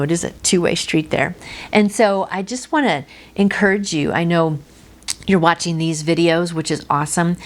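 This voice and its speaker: Female devotional host, middle-aged, somewhat low voice; mixes reading aloud with informal, heartfelt encouragement. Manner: earnest, encouraging, personal direct address.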